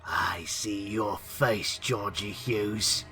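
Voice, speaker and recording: A man voicing a small, wizened, gnome-like bog fairy in a ghost story, menacing and gloating, with slow, drawn-out accusations. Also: fairly low pitch, bright, forceful tone, soft background music, heavily acted. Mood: menacing, accusing. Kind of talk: storybook ghost tale character.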